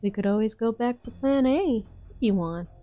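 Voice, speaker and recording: A woman's voice: fairly high pitch, lively intonation, normal speed, muffled recording, soft background music, close to an everyday voice. A woman playing a cool, calculating operation leader in an amateur game dub. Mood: cool, controlled. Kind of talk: English fan dub of a game story.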